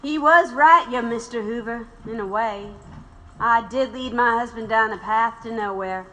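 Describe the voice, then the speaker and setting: Tough old-guard radical widow, kinda high, sharp voice, looking back, radio play. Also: female voice, moderate pace, low-quality recording. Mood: reflective, bitter. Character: tough, reflective widowed revolutionary woman. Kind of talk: audio drama acting.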